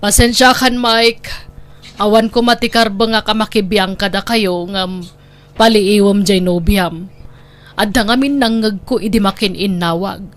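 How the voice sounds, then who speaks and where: A woman's voice: medium pitch, fairly even intonation, measured pace, lightly acted. An actress with an Ilocano Filipino accent playing an observant, concerned older neighbour woman in an audio drama. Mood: tactful. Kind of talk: dramatic radio-style drama acting in Ilocano.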